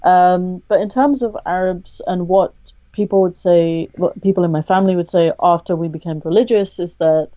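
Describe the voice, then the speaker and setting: A woman with a low, mellow voice talks candidly as a podcast guest, pausing often with a thoughtful "um" before going on. The sound is dry and close, a little dull and lo-fi. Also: steady tone, no echo. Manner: thoughtful, measured, frequent "um" pauses. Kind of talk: candid conversational interview.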